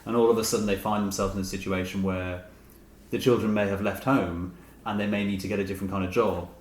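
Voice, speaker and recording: A middle-aged man, an author being interviewed, talking reflectively and tentatively as he rephrases his thoughts. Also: fairly low pitch. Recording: roomy echo with clear background noise.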